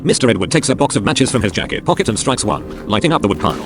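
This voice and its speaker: A man's voice: medium pitch, animated, very fast, over music. A man reading scripted narration of dramatic action scenes as a storyteller.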